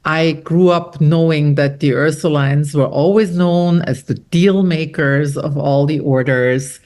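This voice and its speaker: A middle-aged woman from Austria, an author talking about her novel in an interview, animated and quoting others as she tells stories. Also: deep voice, unhurried. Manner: animated storyteller, quotes others with lively shifts. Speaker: author guest. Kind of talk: conversational interview.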